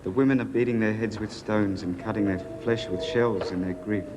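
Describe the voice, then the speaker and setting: Radio theatre narrator, played by an actor: solemn man, low pitch, mournful storytelling, clear background noise. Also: fairly even intonation, fairly quick, close to an everyday voice. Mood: grave, mournful. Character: grave male narrator of a mourning rite. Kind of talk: scripted radio play narration. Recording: clearly noisy recording.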